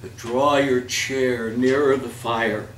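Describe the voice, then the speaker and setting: A man reading literary pieces aloud as a host, with measured, declamatory, deliberate phrasing. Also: medium pitch, steady tone. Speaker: reader and host of a holiday readers theater. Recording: echoey room, distant mic, faint noise.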